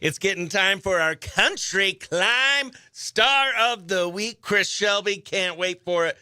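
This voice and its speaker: A man's voice: high-pitched, slow, sharp tone, clean tone, dry recording, clean studio sound. A man chatting as a morning radio show host, upbeat and talkative, sometimes muttering to himself as he works. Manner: upbeat, chatty, mutters to self while working. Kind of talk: behind-the-scenes radio chat. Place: radio studio.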